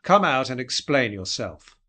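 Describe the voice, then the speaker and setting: A man voicing an angry, impatient male councillor in an audiobook, loud and demanding. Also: medium pitch, big pitch swings, unhurried. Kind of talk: audiobook character dialogue.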